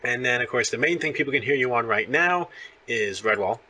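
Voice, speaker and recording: A man's voice: mid-range voice, expressive, fairly quick, pushed and bright, slight background noise. A man hosting an interview in casual fan chat, talkative and full of opinions. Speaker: interview host.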